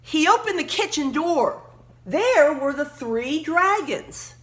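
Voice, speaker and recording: A woman reading a children's story aloud expressively, emphatically pausing to point out words and pictures. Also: high voice, sing-song, fairly bright tone, a little hiss or hum.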